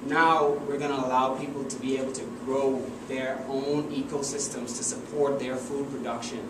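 A young doctoral student from the Bahamas delivers a memorized talk in an earnest, emphatic way, with a mid-range voice. He stands at a distance from the camera in an echoey university room. A steady air-conditioning hum runs clearly underneath. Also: medium pitch, normal speed. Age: young adult. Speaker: PhD student presenter. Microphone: far camera, no visible mic.

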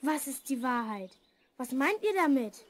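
A very high, girlish voice of a young princess in a children's radio play. She speaks formally and earnestly, with sadness and pride. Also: lively intonation, unhurried. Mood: anxious. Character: lonely young princess Elizabeth, proud and sad. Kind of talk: German children's audio drama acting.

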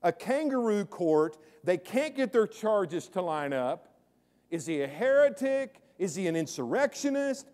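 A middle-aged man preaching a sermon from a church stage, chatty and then suddenly loud, often repeating his words. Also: sits high, very animated, unhurried. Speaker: pastor. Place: large church sanctuary with stage, indoors.

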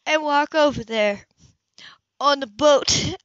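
A nervous, reserved woman in a romance novel voiced by a female reader. Her voice is lifted high and emphatic. The recording is dry and a little lo-fi. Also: takes it slowly, dry recording. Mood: alarmed. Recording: dull, lo-fi audio.

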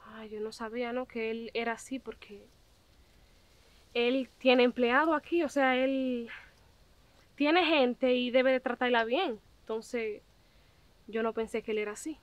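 A woman's voice: fairly high pitch, steady tone, a little slow, pauses often, no echo, natural voice. A young woman acting as a humble but determined visitor who politely keeps insisting, outdoors by a farm gate. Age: young adult. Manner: polite, persistent. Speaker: actress. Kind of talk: web drama acting. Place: outdoors on a country lane by a gate.

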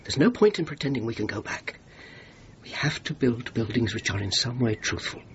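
A man's voice: mid-range voice, lively intonation, normal speed, lightly acted. Radio play: man as troubled, honest, hesitant soul, grave and earnest, muffled noisy recording. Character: troubled, honest, self-doubting man haunted by the war dead. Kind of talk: radio drama acting.

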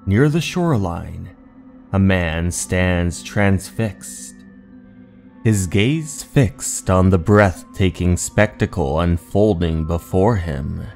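A man narrating a scripted horror story in a measured, theatrical, dramatic way. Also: deep voice, expressive, creaky, a little mellow. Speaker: narrator, channel host. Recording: music behind the voice.

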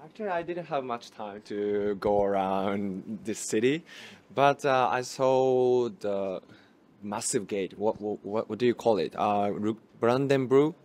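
A young actor speaking very slowly at a festival interview, in a lowish voice. He repeats words and gropes for the right phrase. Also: darkish tone, a little hiss or hum. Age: young adult. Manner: searching for words, repeats himself.

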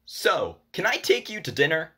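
A man playing an excitable, jovial sports commentator in a comedic radio play, with an animated announcer delivery. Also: fairly high pitch, moderate pace, noisy background.

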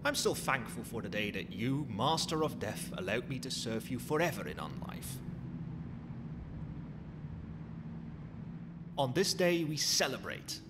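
A man's voice: mid-range voice, very animated, normal speed, close to an everyday voice. A man playing a courteous, cheeky undead skeleton butler in an ASMR roleplay, with a formal, theatrical manner. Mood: ceremonious, proud. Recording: long hall reverb, noisy ambience.

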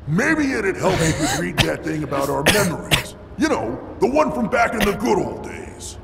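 A man's voice: high-pitched, sing-song, moderate pace, creaky, full character voice. A man playing a boisterous, big-hearted rock-creature warrior in a video game, chummy and blustering, easily embarrassed. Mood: teasing, flustered. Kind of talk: scripted video game character acting. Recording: echoey game playback with long reverb, noisy ambience.

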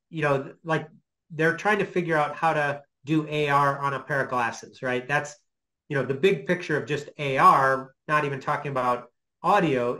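Male, middle-aged. Slightly higher voice, informal lecturer, hesitant and halting delivery. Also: sharp tone, poor audio. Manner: hesitant, halting, thinking aloud. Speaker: guest lecturer. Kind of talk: informal explanatory lecture with questions. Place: small home office, indoors.

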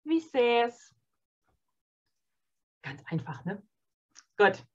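A woman teaching an online beginner Norwegian course, cheerful and encouraging, modelling phrases slowly and clearly. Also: a little high, very animated, darkish tone, highs cut off. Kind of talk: online beginner language lesson.

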